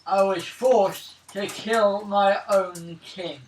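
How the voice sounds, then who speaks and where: Solemn male elf archer played by a man at a game table: stubborn, deliberate, very slow, recorded distantly. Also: sits high, steady tone. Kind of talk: in-character tabletop roleplay. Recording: echoey room, distant mic, noisy, clicks.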